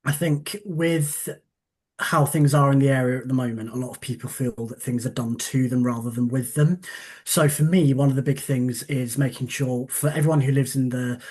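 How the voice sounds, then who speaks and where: Male council candidate, fluent with some fillers, mid-pitched voice. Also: fairly even intonation, moderate pace, a little mellow, breathy edge. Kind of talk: speaking at a public meeting.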